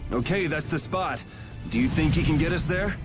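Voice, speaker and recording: A man's voice: on the high side, normal speed, music in the background, natural voice. An actor playing a blunt, wry young swordsman who leads his group in a video game cutscene. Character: cocky young swordsman leader.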